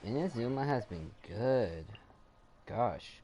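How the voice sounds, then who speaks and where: A man casually commenting on a game as he plays, thinking aloud in a halting, rambling way. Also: mid-range voice, lively intonation, dark tone. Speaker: gamer playing and commenting.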